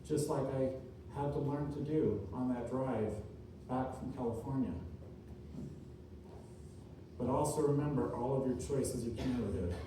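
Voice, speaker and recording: A man giving an inspirational speech to a room, earnest and deliberate, speaking in short, emphatic, repeated phrases. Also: medium pitch. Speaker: motivational speaker, author. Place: large indoor room at a seminar. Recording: distant mic, echoey room, faint noise.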